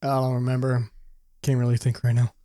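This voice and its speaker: A man with an average-pitched voice chats as a podcast co-host. His delivery is informal and slangy, with swearing mixed into loosely hedged opinions. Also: completely flat delivery, fairly quick, dark tone. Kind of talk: casual podcast chat about movies.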